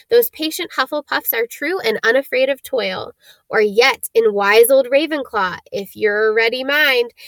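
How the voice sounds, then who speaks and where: A woman's voice: a little high, fairly bright tone, dry recording. A woman voicing a boastful, magical talking hat that sings its verses in a sing-song rhyme.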